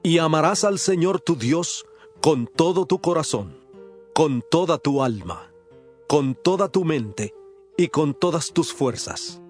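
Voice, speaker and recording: A man's voice: fairly high pitch, lively intonation, slow, a little mellow, faint music behind. A man playing an authoritative religious teacher in a dramatized scripture audiobook, speaking in a solemn, emphatic, declamatory way.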